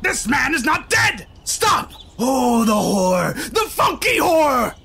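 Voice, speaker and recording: Frantic cartoon creature, overwrought and urgent, creaky, extremely high-pitched. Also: male voice, sing-song, slow, shouting, background noise, heavily acted. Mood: hysterical. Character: frantic, shrill, unhinged talking cartoon creature.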